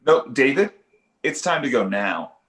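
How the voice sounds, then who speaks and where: A man playing an awkward young father and amateur comedian in an animated comedy table read, hesitant and stammering. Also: medium pitch, unhurried. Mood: exasperated. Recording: roomy echo.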